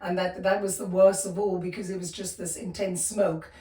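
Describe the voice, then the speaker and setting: A South African woman in her fifties, a podcast guest telling her life story with many pauses, fillers and restarts. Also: fairly low pitch, level tone, mellow, echoey room, recorded from a distance. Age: 50s. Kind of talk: personal storytelling interview.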